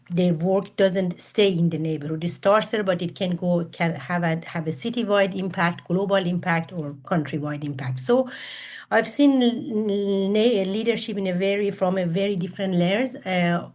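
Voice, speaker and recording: A middle-aged woman from Iran with an Iranian accent, speaking earnestly and formally as a panelist on a video call. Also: mid-range voice. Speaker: panelist, women's empowerment coach. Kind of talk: prepared self-introduction at a virtual panel. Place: virtual summit video call.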